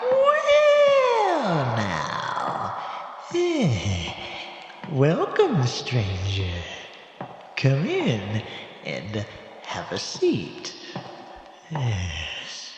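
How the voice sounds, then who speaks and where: A man playing an old, obliging morgue attendant who tells tales in a folksy, unhurried, old-time radio horror style. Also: medium pitch, wildly swooping pitch, heavy reverb, big-room sound, noisy background, full character voice. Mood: welcoming, sly. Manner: unhurried, hesitant, savouring the tale.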